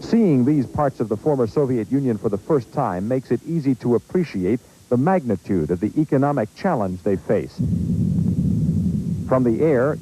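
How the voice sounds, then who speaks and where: A man's voice: medium pitch, noisy background. A man with an American accent narrating a scripted news report as a correspondent.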